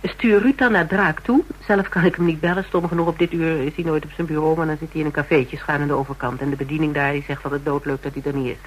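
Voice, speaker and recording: A level-headed, sardonic radio drama man in a tight spot played by a male actor, with a slightly high voice. He talks in clipped, dry, probing phrases with hesitant openers. A faint hiss and hum sit on the recording. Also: fairly even intonation, fast, few pauses, natural voice, rough recording. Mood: urgent, controlled. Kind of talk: Dutch radio drama acting.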